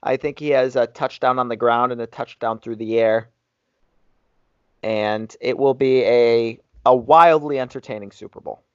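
A man's voice: medium pitch, moderate pace, dry recording. A man co-hosting a podcast, chatting casually and making sports predictions. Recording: dry, muffled lo-fi podcast audio.

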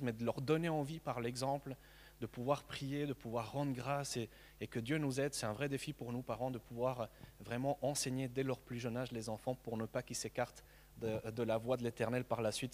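Earnest halting preacher in a reverberant hall. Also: male voice, medium pitch. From Mexico. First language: Spanish. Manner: earnest, halting with frequent repeated words. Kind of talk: sermon.

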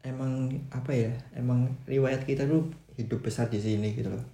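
A man's voice: mid-range voice, steady tone, a little fast, creaky, warm tone, reverberant. A young man from Central Java with a Banyumas Javanese accent, a guest in a casual chat, playful and teasing with slang. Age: young adult.

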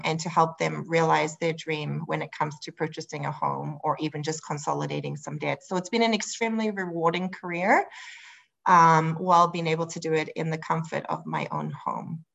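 A woman's voice: lowish voice, gravelly, fairly bright tone. A middle-aged woman with a South African accent introducing herself as an interview guest in a gracious, upbeat, conversational way. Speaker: guest, mortgage advisor.

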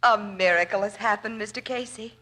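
A woman's voice: mid-range voice, pushed and bright, low-quality recording. A woman acting an earnest, genteel society daughter with formal, dramatic phrasing in a 1940s radio drama. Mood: grateful, fervent. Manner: dramatic, formal, halting pauses. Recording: old radio broadcast.

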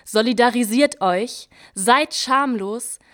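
A woman's voice: fairly high pitch, slow. A woman in a radio play chanting defiant, insistent spoken-word lines. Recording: spoken over loud music.

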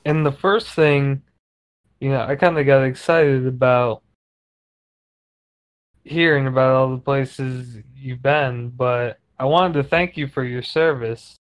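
A male podcast host whose voice comes across smooth and resonant. He talks in a friendly, informal way and leans on casual fillers as he chats. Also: mid-range voice, fairly even intonation, slow, poor audio. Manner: friendly, informal, uses filler words like "like" and "you know".

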